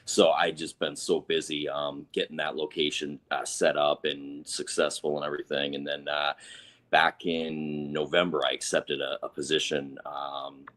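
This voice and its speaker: A man from northern Vermont, guest author on a podcast, relaxed with many fillers, recovering from a lost voice. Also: very deep voice, normal speed. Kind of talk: casual interview.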